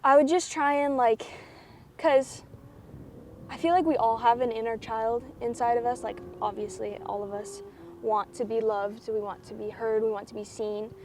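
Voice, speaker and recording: A woman's voice: fairly high pitch, steady tone, normal speed, darkish tone, slight background noise. A teenage girl answering interview questions candidly and reflectively, with many "like" fillers. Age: teens. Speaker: student athlete guest. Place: school campus, indoors.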